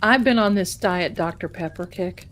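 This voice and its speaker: A woman's voice: fairly low pitch, moderate pace, fairly bright tone. Chatty woman live-streaming. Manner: chatty and rambling, calls viewers "my darlings". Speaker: tarot reader hosting a live stream. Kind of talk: casual live-stream chat.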